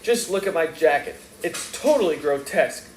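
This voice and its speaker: A man's voice: a little high, expressive, normal speed, echoey room, a little hiss or hum, pitched above the performer's own voice, lightly acted. A man reading aloud and voicing a prissy, fussy young man who speaks in a snippy, dainty way.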